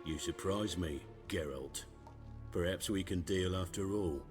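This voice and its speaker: An actor playing a cold, smugly pompous middle-aged witch-hunter commander in a video game, speaking formally with sardonic, measured phrasing. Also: low voice, music in the background. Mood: smug, menacing.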